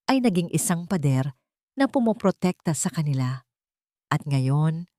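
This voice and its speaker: Low-voiced Filipino woman storyteller, dry sound. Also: dry recording. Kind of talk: scripted dramatic narration of a love story.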